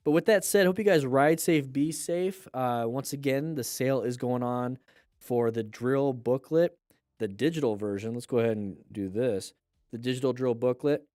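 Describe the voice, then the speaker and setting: A man's voice: medium pitch, darkish tone, no echo, clean studio sound. A man hosting a casual livestream, reviewing motorcycle clips and chatting loosely between them, his voice stuffy and nasal from congestion. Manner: chatty, loose asides, plugs a sale.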